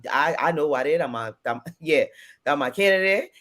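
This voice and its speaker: A man's voice: on the high side, expressive, moderate pace, a bit bright. A man, a political candidate, who speaks hesitantly with many fillers in a panel discussion.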